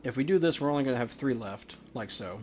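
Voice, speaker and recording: A man's voice: mid-range voice, expressive, fast, a crowd in the background. A man hosting a let's play, giving casual, laid-back gaming commentary as he thinks aloud.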